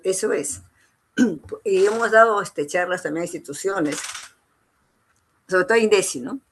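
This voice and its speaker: A woman with a Peruvian accent giving an informal lecture as an instructor, repeating phrases for emphasis and adding short tag questions. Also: medium pitch. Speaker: engineer giving a training lecture.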